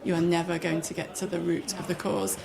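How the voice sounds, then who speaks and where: A woman's voice: fairly low pitch, little pitch movement, brisk, gravelly, background noise. A woman guest talking earnestly on a radio show about storytelling workshops. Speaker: author and workshop leader, guest. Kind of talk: radio interview.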